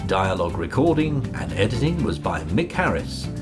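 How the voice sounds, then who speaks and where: A man's voice: on the low side, very animated, normal speed. A man announcing the closing credits of a radio play in a formal, scripted way. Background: music with guitar.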